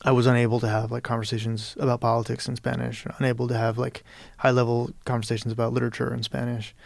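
Gravelly-voiced man, flat and thoughtful. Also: mid-range voice, fast talker, no echo. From Peru. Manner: thoughtful, searching, many restarts and "you know". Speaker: guest writer. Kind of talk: reflective interview conversation.

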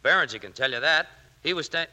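An actor playing a defensive, distrusted sailor in a 1940s radio drama. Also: male voice, on the high side, lively intonation, quick pace, bright, forceful tone.

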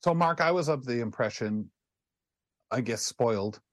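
A man's voice: medium pitch, animated, normal speed, dry recording, clean studio sound. A man hosting a casual panel chat in a rambling, conversational way. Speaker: talk show host.

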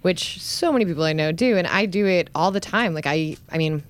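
A woman's voice: on the low side, no room sound. A woman journalist in a casual podcast chat, talking in a chatty way with lots of fillers. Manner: chatty, lots of "like" and "you know", quick to laugh.

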